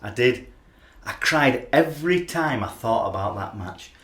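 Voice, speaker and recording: An actor with a Yorkshire accent playing an ageing, obsessed rugby league fan in a radio play, confessional and tormented by doubt. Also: male voice, mid-range voice, lively intonation, unhurried, fairly bright tone. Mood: anguished, regretful. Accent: Yorkshire English. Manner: anguished, confessional, searching. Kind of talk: radio play acting, emotional monologue and dialogue. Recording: roomy, echoing voice recording.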